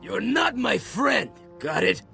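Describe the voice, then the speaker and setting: A hot-tempered gang bully in a sci-fi game. The voice is high, harsh and heavily gravelled, with slow, swaggering taunts full of menace. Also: male voice, very animated, bright, forceful tone, faint music behind, heavily acted. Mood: taunting. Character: aggressive male thug gang leader, short-tempered bully. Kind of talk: video game dialogue acting.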